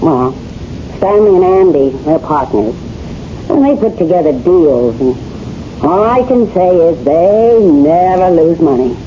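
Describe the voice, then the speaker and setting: A woman's voice: fairly low pitch, lively intonation, measured pace. An actor playing a brassy, flirtatious wife fed up with her husband, in slangy, wisecracking 1970s radio drama style, played by a woman. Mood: animated, gossipy. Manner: slangy, wisecracking, ungrammatical delivery. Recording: muffled, hissy old radio broadcast recording.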